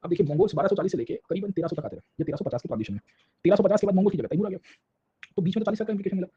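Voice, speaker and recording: Male Indian-accented history teacher, fairly high-pitched, informal exam-prep lecturing with repeated check-ins, slightly muffled lo-fi recording. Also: very fast, mellow, breathy edge. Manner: repetitive check-in tags, addressing listeners as sir; colloquial, illustrating points with little acted anecdotes.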